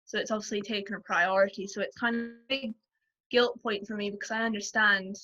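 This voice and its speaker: A young woman with a softly posh accent that blends English and Scottish, her voice bright and forward. She talks in an easy, chatty way, full of "like" and quick asides. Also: medium pitch, steady tone, lo-fi sound. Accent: mild posh English-Scottish mix. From Scotland, Aberdeenshire. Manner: chatty, frequent "like" and quick laughs. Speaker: guest. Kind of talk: casual conversation.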